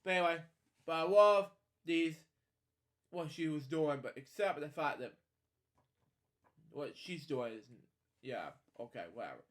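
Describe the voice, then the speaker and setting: Higher-voiced man, rambling casually. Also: animated, unhurried, pauses often, fairly bright tone. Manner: digressive, opinionated commentary addressed to listeners. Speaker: podcast host. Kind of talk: casual rambling read-along of a children's storybook with asides.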